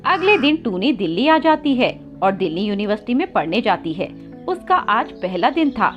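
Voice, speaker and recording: A woman narrates a children's cartoon story in a scripted storytelling style. Her voice is very high and animated. The recording is a little dull. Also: moderate pace, faint music behind.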